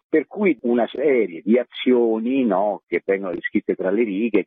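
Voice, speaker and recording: A man's voice: low voice, husky, no room sound. A man interviewed on radio as a theatre director, telling things in a conversational storytelling way. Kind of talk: radio interview, describing a play. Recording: phone-like narrow band, radio interview.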